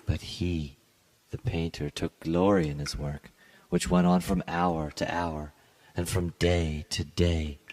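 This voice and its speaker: A man giving a solemn, theatrical, measured dramatic reading of a gothic short story. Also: a bass voice, little pitch movement, darkish tone. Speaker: literary podcast reader.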